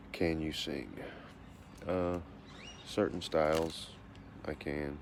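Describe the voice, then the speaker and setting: Man, 40s, deep voice. Reads questions and answers them matter-of-factly. Casual self-interview, noisy recording. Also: fairly even intonation, extremely slow. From Texas, USA. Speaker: vlogger answering a question tag.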